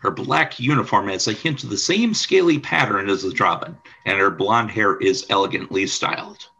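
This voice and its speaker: A man's voice: on the low side, moderate pace, gravelly, sharp tone, husky, lo-fi sound. A man reading scripted narration for a radio play, describing scenes and action.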